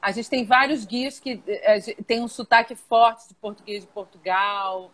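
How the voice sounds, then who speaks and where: A woman's voice: a little high, moderate pace, a bit bright, rough recording. A woman hosting a live online interview, greeting viewers and prompting her guests. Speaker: host.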